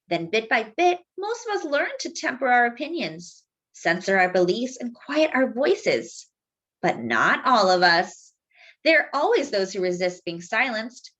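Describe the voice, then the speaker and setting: A woman reads a children's picture book aloud as a storytime host. Her voice is somewhat high and very lively in pitch, with dramatic, expressive delivery aimed at young listeners.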